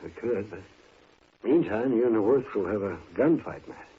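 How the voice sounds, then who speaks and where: An American actor playing a loyal, stubborn middle-aged town doctor in an Old West radio drama, speaking earnestly and with measure. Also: male voice, fairly low pitch, expressive, normal speed, husky, full character voice. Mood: earnest, determined. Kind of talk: 1950s radio western acting. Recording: narrow, tinny, noisy old radio recording.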